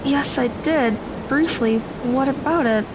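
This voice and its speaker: A woman's voice: high voice, measured pace, background noise. A woman playing an earnest, tentative teenage girl heroine in an audio drama. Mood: pained.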